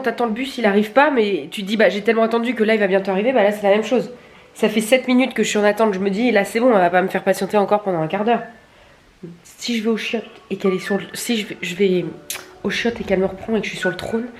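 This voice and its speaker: A young adult woman chatting casually to camera in a candid, crude, colloquial way, grumbling and poking fun at herself. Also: mid-range voice. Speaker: vlogger filming stories. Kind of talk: casual confessional chat to camera.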